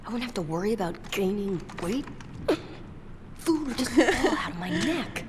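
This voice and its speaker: An actress playing a laid-back, teasing sister in a video game. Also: female voice, on the high side, animated, normal speed, very noisy, lightly acted. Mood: curious, teasing.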